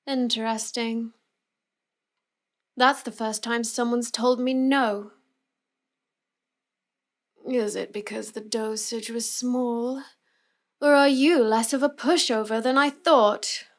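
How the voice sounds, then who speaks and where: A woman's voice: fairly high pitch, fairly even intonation. A woman playing a cute, smug young villainess who teases and manipulates the listener in a scripted roleplay audio. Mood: intrigued, commanding. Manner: slow, smug, taunting delivery.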